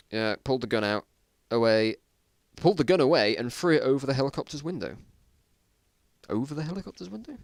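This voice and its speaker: A man reads a story aloud in a dramatic but self-mocking way, often stepping out to comment in exasperation on the writing. The recording is dry and close, and his pitch is middling. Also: no room sound. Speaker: reader of own story. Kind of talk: dramatic reading with joking asides.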